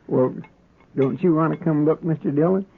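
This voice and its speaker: High-pitched folksy frontier sidekick. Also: male voice, moderate pace, gravelly. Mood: hesitant, eager. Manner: folksy, eager, deferential. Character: excitable, naive, folksy deputy of a frontier marshal. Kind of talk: 1950s radio western acting. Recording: muffled old 1950s radio recording.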